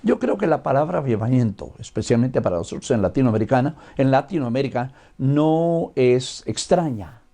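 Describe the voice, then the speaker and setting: An older man with a Guatemalan accent giving a religious lecture in a deliberate, expository manner, as a missionary teacher would. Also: medium pitch, lively intonation, dark tone.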